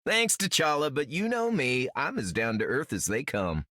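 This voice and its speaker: A cocky young superhero for a video game. His bright voice swoops wildly with breezy enthusiasm. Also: mid-range voice, huge pitch swings, moderate pace, no room sound, studio quality, exaggerated voice. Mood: excited, breezy. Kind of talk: video game character voice acting.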